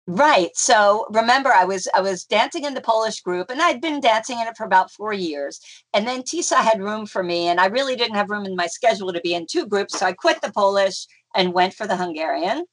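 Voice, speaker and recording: A woman's voice: medium pitch, expressive, a little fast, low-quality recording. Middle-aged woman, interview guest, mid-range voice, rambling and chatty with lots of fillers. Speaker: interview guest, folk dancer. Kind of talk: casual conversational interview.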